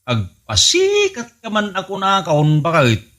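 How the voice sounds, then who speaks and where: Guy doing a flustered ilocano husband, slightly high, lively voice. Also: measured pace, heavily acted. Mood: embarrassed. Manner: scolding, flustered. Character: embarrassed husband Bogs, middle-aged.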